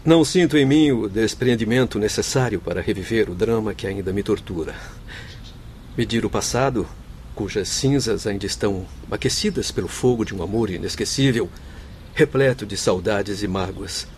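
An actor playing an earnest, courteous doctor spirit in a Brazilian Portuguese radio drama, speaking formally and eloquently. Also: male voice, on the low side, moderate pace, a little mellow, a little hiss or hum, close to an everyday voice. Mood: sorrowful.

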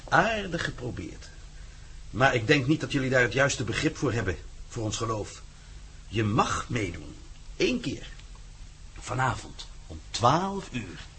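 An actor in a radio play playing a menacing village cult zealot who taunts with smug, mock politeness. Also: male voice, medium pitch, sing-song, measured pace, long pauses, fairly bright tone, slight background noise.

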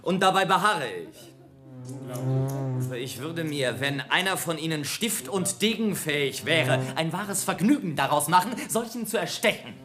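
Radio drama: proud, defiant aristocrat, pompous and sarcastic, animated intonation over music. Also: male voice, a little high, unhurried. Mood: haughty, threatening. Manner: pompous, sarcastic, stilted formal speech. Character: haughty, defiant nobleman balloonist on trial. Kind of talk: German radio play acting. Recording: music behind the voice.

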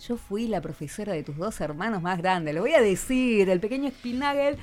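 An animated woman with a Buenos Aires accent chats as a radio host. Her pitch is medium and swings freely, and she sounds encouraging and informal, with faint music behind her. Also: moderate pace. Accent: Argentine, Buenos Aires. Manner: encouraging, chatty. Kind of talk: casual live radio interview. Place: radio studio.

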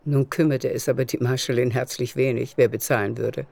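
Mellow, creaky-voiced woman narrator. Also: extremely low pitch, steady tone, brisk, no room sound. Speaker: narrator of a radio play. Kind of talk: scripted literary narration. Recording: faint music under narration. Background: faint music.

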